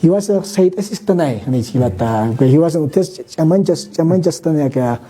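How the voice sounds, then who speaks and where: Man, very dark tone, husky, conversational explaining, frequent restarts. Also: a little high, expressive, brisk. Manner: thinking aloud, many restarts and "¿no?" tags. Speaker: guest speaker. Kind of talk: conversational explanation, mixing Spanish and Aymara.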